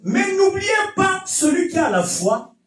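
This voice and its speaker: Echoey, high-pitched Congolese pastor preaching fervently. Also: male voice, very animated, measured pace. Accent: Congolese French. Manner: emphatic, repetitive, often shouting exhortations. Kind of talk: fervent Pentecostal-style sermon with testimony and call-and-response. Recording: echoey church PA, distant, music behind.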